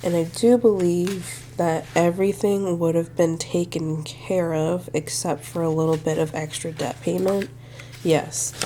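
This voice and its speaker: A woman's voice: lowish voice, fairly even intonation, a little slow, dark tone, a little hiss or hum. A young woman hosting a budgeting vlog, sounding a little nasal from congestion, talking through her numbers in a friendly, think-aloud way. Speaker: budgeting channel host. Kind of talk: casual budgeting walkthrough to camera.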